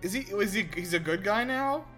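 Male podcast host, lighter-pitched, wry and teasing, informal film banter, some music underneath. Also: expressive, a little fast, bright, forceful tone. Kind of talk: casual film reaction chat.

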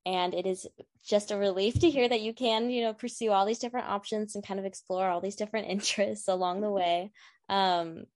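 A woman's voice: medium pitch, fairly even intonation, fairly quick, dry recording. A young woman in her twenties, a student co-host asking interview questions in a casual, curious way. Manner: casual, curious, with fillers like "like" and "kind of". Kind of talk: podcast interview.

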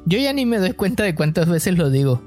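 A man with a Mexican coastal accent co-hosting a podcast, chatting casually and telling stories in a relaxed, slangy way. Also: sits high, fairly quick, soft background music. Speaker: podcast co-host.

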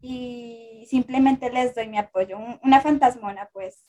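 A young woman from Colombia in her early twenties, chatting as a podcast guest. Her voice sits slightly high, and she talks in a playful, teasing, jokey way. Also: unhurried, warm tone. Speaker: interview guest, content creator. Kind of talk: casual podcast chat.

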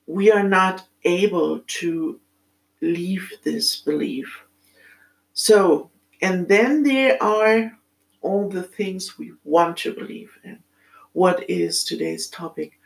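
A middle-aged woman with a German accent, speaking in a reflective, halting solo monologue as a podcast host, with many pauses. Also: mid-range voice, rough recording.

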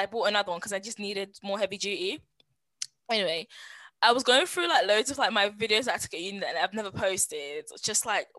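A woman, co-hosting a podcast in casual, slangy chat full of animated storytelling and quoted asides. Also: medium pitch, no echo. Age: 20s. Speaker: podcast co-host.

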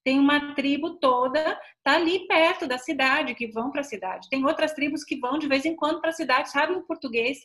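Middle-aged brazilian woman, kinda high voice, explaining stuff in a casual interview chat. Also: moderate pace, hardly any pauses, fairly bright tone. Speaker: missionary, interview guest.

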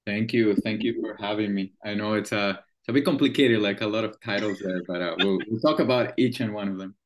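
This man in his thirties speaks English with a Venezuelan Spanish accent, his voice sitting a little low. He explains things at length and thoughtfully, often pausing with "uh" and restarting his sentences, in a relaxed interview manner. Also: a little hiss or hum. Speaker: guest, screenwriter and producer. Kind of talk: casual interview chat.

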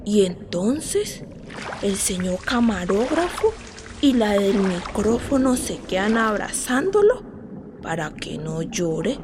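A woman's voice: mid-range voice, very animated, slow, background noise. A woman playing an innocent, wondering eight-year-old girl who tells her story in simple, repetitive childlike words in a radio drama. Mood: expectant, observant.

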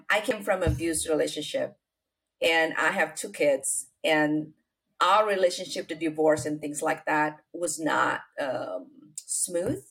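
A middle-aged woman from Indonesia with an Indonesian accent, telling her life story as an interview guest, unhurried and full of fillers. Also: deep voice, vocal fry, poor audio. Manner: unhurried, many fillers and restarts, non-native grammar.

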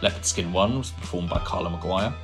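Deep male announcer reading credits over music, measured and formal.

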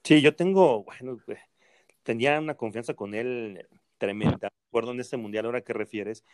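A man's voice: mid-range voice, normal speed, no room sound. A man, a sports journalist, reminiscing with anecdotes and quoting a friend in a raised voice. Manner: laughing anecdotes, quotes in a raised voice. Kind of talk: reminiscing in conversation.

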